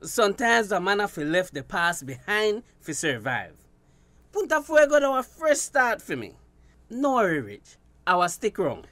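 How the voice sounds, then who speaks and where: Guarded, hard-up Belizean Creole fisherman, sharp lively voice, radio drama. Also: sits high, moderate pace, no echo, heavily acted. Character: hard-up, guarded male fisherman seeking fresh start.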